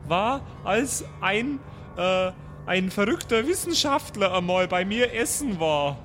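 A man's voice: high voice, expressive, takes it slowly, fairly bright tone, faint music behind. A man improvising casually in character as a player in a tabletop role-playing game.

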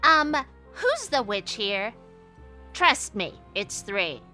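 A woman's voice: medium pitch, huge pitch swings, takes it slowly, pushed and bright, faint music behind, heavily acted. An actress playing a blunt, cranky, vengeful old witch in a comedy horror radio play. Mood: matter-of-fact. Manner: blunt, dramatic, scolding. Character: cranky old witch woman, vengeful, foul-mouthed homeowner.

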